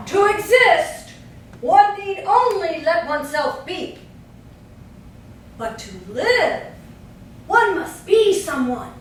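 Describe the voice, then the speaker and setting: Female declaimer, extremely high voice, slow measured theatrical lines, distant in a cavernous space. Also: expressive, exaggerated voice. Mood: declamatory. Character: high-voiced woman declaiming stark maxims. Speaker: stage actor. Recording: very echoey stage, distant mic, faint rumble.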